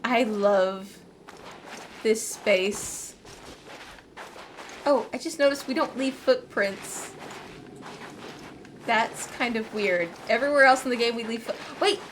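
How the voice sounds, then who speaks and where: Woman, fairly high pitch, casual game commentary, chatty, wry asides, faint background noise. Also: takes it slowly, long pauses. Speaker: gaming channel host.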